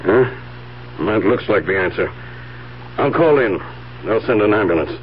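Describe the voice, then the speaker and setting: Casting: male actor for businesslike cop, fairly deep voice, matter-of-fact, vintage radio drama, muffled sound. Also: expressive, normal speed, natural voice. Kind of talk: 1970s radio drama acting. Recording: muffled, faintly noisy 1970s radio recording.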